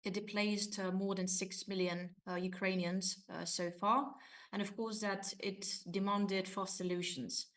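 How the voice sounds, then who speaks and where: A woman whose first language is Hungarian, giving a formal academic conference talk over a video call, halting often on fillers. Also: medium pitch, little pitch movement, a bit bright. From Romania. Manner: hesitant, many fillers, formal phrasing. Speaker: conference presenter, associate professor. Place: university office, construction noise nearby.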